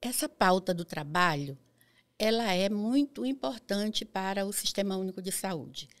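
An older Brazilian woman speaks as a podcast guest in a studio. Her voice is of average pitch, and she answers in a conversational way. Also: lively intonation, moderate pace. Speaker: podcast guest, nurse and health council member. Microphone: studio microphone on boom arm.

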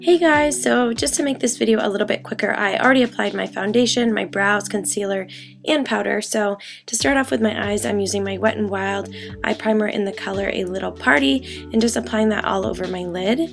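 A young woman in her twenties, raspy from a cold, chatting through a makeup tutorial in a bedroom, full of ums and likes. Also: medium pitch, brisk, soft background music. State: getting over a cold. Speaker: beauty vlogger. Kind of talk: casual makeup tutorial talk. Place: bedroom, indoors.

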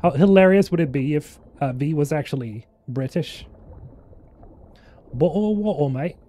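A man's voice: on the high side, lively intonation, normal speed, dark tone. Swedish man chatting while gaming. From Sweden. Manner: joking, rambling, chats with viewers. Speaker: streamer. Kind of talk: casual gameplay chat. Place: small room indoors. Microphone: headset.

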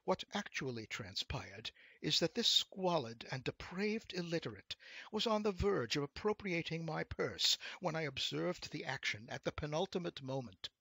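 A man voicing a proud, sniffy gentleman in a storybook tale, speaking in a pompous, wordy, over-precise way. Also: a little high, vocal fry, husky, dry recording, pitched above the performer's own voice, exaggerated voice.

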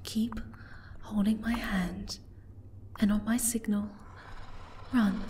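A woman voicing a gentle, timid, caring young moth girl in an ASMR roleplay, soft-spoken and hesitant. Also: mid-range voice, steady tone, takes it slowly, a little hiss or hum, lightly acted. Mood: hushed, fearful.

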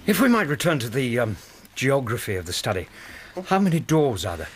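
An actor playing a courteous, dryly patient English gentleman doctor with a husky voice in a radio drama. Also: mid-range voice, very animated, a little fast, a little hiss or hum, natural voice. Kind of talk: BBC radio drama acting.

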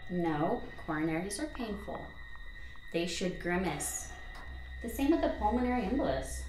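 Woman acting animated, sharp-minded surgeon. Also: mid-range voice, normal speed, slight background noise, close to an everyday voice. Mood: shocked, analytical. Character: sharp, questioning woman surgeon examining the dead. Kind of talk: radio drama acting. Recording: echoey, faint music.

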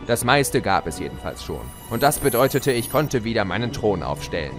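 A man's voice: fairly low pitch, sing-song, a little fast, over music. An actor playing a gleeful chaos spirit, a theatrical, mocking villain who narrates his own tale in an audio drama. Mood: smug storytelling.

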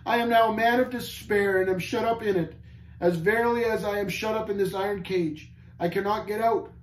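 A man's voice: high voice, steady tone, fairly quick, pitched above the performer's own voice, close to an everyday voice. A man voicing a despairing, guilt-tormented prisoner in an audiobook story, moaning in anguish. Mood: bitter despair. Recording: roomy echo, faint background noise.

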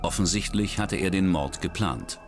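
A man narrating a crime documentary in a measured, dramatic scripted style. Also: low voice, over music.

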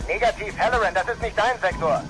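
An actor playing a steady, authoritative male space commander in a German audio drama, giving terse orders. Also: sits high, fast talker, over music, natural voice, poor audio. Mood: brisk, instructing.

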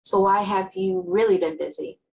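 A woman's voice: medium pitch, steady tone, moderate pace, darkish tone, lightly acted, poor audio. A young woman with an American accent playing a candid, streetwise teenage girl, narrating a novel in a dramatic reading. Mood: worried, confronting. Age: young adult. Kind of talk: dramatic book reading, first-person narration and dialogue. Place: indoors in a small home room. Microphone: video call, close to computer.

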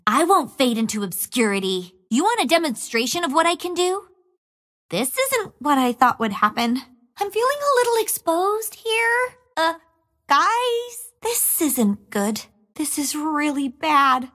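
A woman's voice: high voice, very animated, unhurried, fairly bright tone, full character voice. An actress playing a cunning young female assassin in a game, taunting her foes with playful menace and relishing every kill. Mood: boastful, then worried. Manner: gleeful, teasing, relishes killing. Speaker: video game character. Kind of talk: game voice lines. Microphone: studio mic.

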